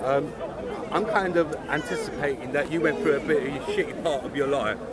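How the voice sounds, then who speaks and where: Blunt Turkish-born man over a loud crowd. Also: fairly high pitch, brisk. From Turkey. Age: 40s. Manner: blunt, hesitant fillers. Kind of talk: street debate.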